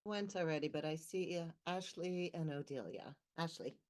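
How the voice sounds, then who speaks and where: A soft-spoken woman committee member introduces herself and passes the turn on at an online meeting. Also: low voice, normal speed.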